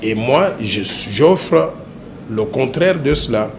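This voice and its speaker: A man lays out his background in a formal interview. He speaks at a medium pitch, steady and deliberate, pausing now and then to gather his words. A clear layer of background noise sits under his voice. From Democratic Republic of the Congo. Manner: measured and deliberate, with hesitant pauses. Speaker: interview guest, political candidate. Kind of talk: formal self-presentation in an interview. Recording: noisy ambient background.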